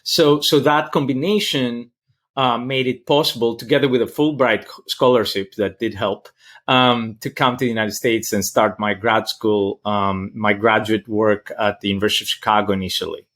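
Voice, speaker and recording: A man from Greece with a Greek accent answers interview questions as a professor, thoughtful and halting as he searches for words. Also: lowish voice, steady tone, a bit bright. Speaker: interview guest, political science professor.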